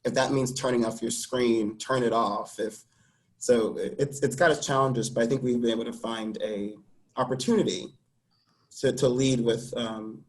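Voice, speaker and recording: A man's voice: medium pitch, steady tone, normal speed, poor audio. Male, middle register, earnest and reflective, natural interview delivery.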